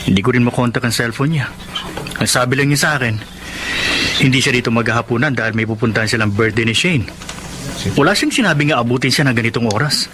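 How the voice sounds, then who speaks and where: A meek Filipino husband, played by a man in a radio drama, apologizing hesitantly in a mid-pitched voice. He sounds submissive and hardworking. The audio is clearly noisy. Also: fast, close to an everyday voice. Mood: worried, deferential.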